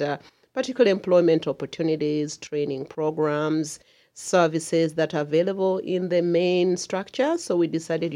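A woman's voice: low-pitched, warm tone. A middle-aged woman from Uganda, speaking earnestly and emphatically as a community association chair in a radio interview.

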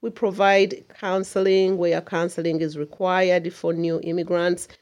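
Middle-aged woman, lower register, community leader in a radio interview, earnest and emphatic. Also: little pitch movement, measured pace, a little mellow. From Uganda. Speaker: community association chair.

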